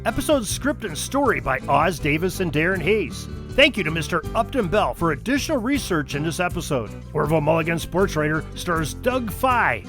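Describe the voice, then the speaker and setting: A man reading the closing credits of an audio drama at a rapid pace. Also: on the high side, music in the background. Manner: rapid, lively announcing. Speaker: announcer. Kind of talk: credits read.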